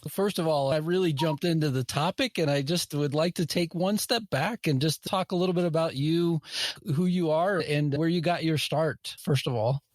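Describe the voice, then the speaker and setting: A man's voice: on the high side, normal speed, no room sound. A man hosting a podcast, asking questions with hesitant fillers and restarts. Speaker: podcast host. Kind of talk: interview questions.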